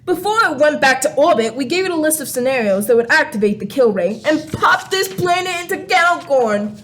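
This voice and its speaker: Woman acting, alien renegade, very high voice, mocking, scolding, radio comedy. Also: expressive, brisk, fairly bright tone, a little hiss or hum. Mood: smug. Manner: snide, scolding. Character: sassy, mocking alien crayon renegade visiting Earth.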